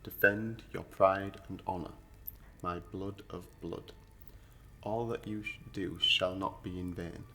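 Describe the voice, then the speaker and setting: A man's voice: deep voice, fairly even intonation, takes it slowly, sharp tone, a little hiss or hum, heavily acted. A man playing a solemn officiant of a fantasy noble court in an audio roleplay, speaking in a stately, formal, declamatory manner. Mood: solemn, reverent.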